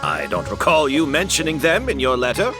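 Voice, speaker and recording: An actor playing an older master perfumer in a video game, gentle and modest toward a former student. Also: male voice, fairly high pitch, huge pitch swings, a little fast, over music.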